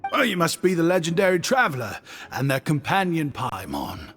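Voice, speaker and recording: A breezy, effusive young video game shopkeeper. His voice sits a bit high and moves around with animation. It rings in a long reverb, with some music behind. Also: huge pitch swings, normal speed, gravelly, heavily acted. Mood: breezy, curious. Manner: effusive, breezy, self-deprecating. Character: affable, chatty, flattering male stand-in shopkeeper.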